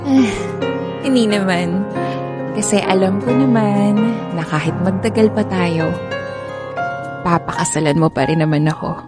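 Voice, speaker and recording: An actress playing a young Filipino girlfriend in a radio drama, with an expressive, conversational delivery. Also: medium pitch, measured pace, pauses often, close to an everyday voice. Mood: affectionate, sincere. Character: affectionate young girlfriend Patricia, teasing, later complaining. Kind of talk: Tagalog radio drama acting. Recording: music bed behind the voice.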